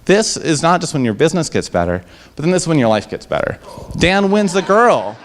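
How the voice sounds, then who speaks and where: A man pitching his startup to a live audience and then answering their questions on a handheld mic. He talks with upbeat enthusiasm and often restarts his sentences. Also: very animated, fairly quick, slight background noise. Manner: enthusiastic, upbeat salesman patter with frequent restarts. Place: event room before a live audience. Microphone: handheld microphone.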